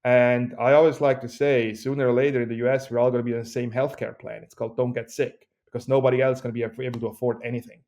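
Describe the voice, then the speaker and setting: A man with a Swiss accent, a company CEO speaking as a guest in a podcast interview, with an energetic, joking pitchman delivery. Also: fast, few pauses. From Switzerland.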